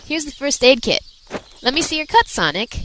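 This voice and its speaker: A woman playing a brisk, caring companion who teases matter-of-factly in an amateur radio drama. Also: sits high, very animated, fairly bright tone. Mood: brisk, teasing. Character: brisk, teasing, caring companion of a hedgehog hero. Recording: noisy amateur recording.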